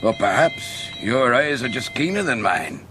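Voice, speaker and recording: An actor playing an affable, evasive monastery porter in a radio drama. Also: male voice, mid-range voice, big pitch swings, measured pace, over loud music. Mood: evasive, welcoming. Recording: noisy outdoor ambience behind voice.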